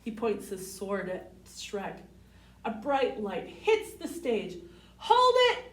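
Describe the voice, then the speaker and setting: Female children's storyteller: sing-song, lively pitch, unhurried, recorded in a roomy space. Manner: sing-song, addressing children with simple questions. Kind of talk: storybook read-aloud for young children. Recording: roomy, distant microphone.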